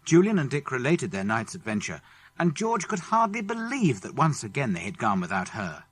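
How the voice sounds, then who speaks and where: A man's voice: medium pitch, huge pitch swings, normal speed, husky. A man with a British accent reading a children's adventure story expressively, building suspense as he narrates. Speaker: audiobook narrator.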